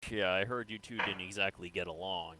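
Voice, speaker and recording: A man playing a calm, businesslike sci-fi military interrogator in an improvised game roleplay, questioning in a measured, conversational way. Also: low-pitched, background noise, close to an everyday voice. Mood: calm, probing. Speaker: player in an online roleplay.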